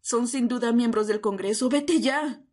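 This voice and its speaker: A woman's voice: on the high side, normal speed, darkish tone, close to an everyday voice. A woman voicing a commanding vampire matriarch in an audio drama, grave, imperious and fiercely protective. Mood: urgent command. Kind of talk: Spanish-language ASMR roleplay audio drama.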